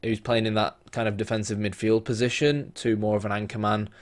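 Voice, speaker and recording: A man, losing his voice, casually talking through a football management game, thinking aloud with lots of fillers and bursts of excited play-by-play. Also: on the low side, fairly quick. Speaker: gaming YouTuber.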